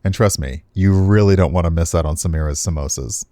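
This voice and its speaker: A man plays a frank, easygoing guy on a restaurant staff in a visual novel. His voice is low and mellow. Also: a little fast, no room sound, studio quality, natural voice. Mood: chatty.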